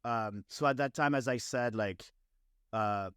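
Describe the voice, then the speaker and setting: A man in his twenties, French-born and French-accented, speaking as an interview guest in an upbeat, energetic way with a lot of "like". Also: mid-range voice, a little slow, clean tone, dry recording, studio quality. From France. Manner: upbeat, energetic, heavy use of "like". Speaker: guest entrepreneur. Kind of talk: casual interview conversation.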